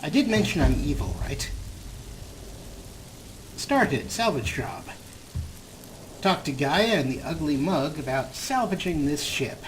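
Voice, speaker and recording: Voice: mid-range voice, big pitch swings, slow pace, pauses often. A let's play host giving casual, wry game commentary and playfully talking to the characters. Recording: noisy, game ambience behind voice.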